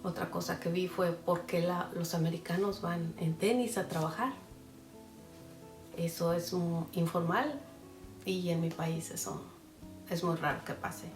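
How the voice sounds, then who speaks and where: Woman interviewee, measured and thoughtful, lower voice, roomy off-mic sound. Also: recorded from a distance, music in the background. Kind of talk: reflective interview answers. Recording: echoey room, off-mic.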